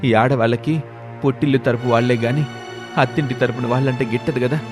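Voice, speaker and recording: A man's voice: mid-range voice, fairly even intonation, a little fast, over music, lightly acted. A man voicing a troubled, apologetic father with a helpless, pleading manner in a moral story.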